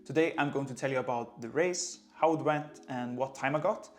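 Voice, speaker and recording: A man in his late twenties from Iceland, a running coach speaking English with an Icelandic accent in a relaxed, conversational vlog. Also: mid-range voice, fairly quick, faint music behind. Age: late 20s. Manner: relaxed, conversational, light laughs. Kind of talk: casual vlog talk to camera.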